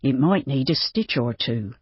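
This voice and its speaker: A reader voicing an older farmer, practical and steady, who tends animals and wounds. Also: mid-range voice, a little slow, breathy edge, muffled recording.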